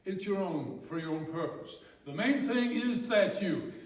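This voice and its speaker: A man's voice: high voice, expressive, a little slow, over a noisy crowd. A man informally explaining an exercise as a presenter. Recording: very echoey room, distant microphone.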